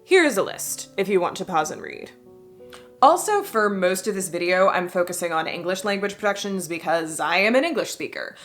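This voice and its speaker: A woman's voice: on the low side, expressive, fairly quick, fairly bright tone, soft background music. A woman, a history and costume video creator, reading a scripted video essay in a wry voice with playful emphatic asides.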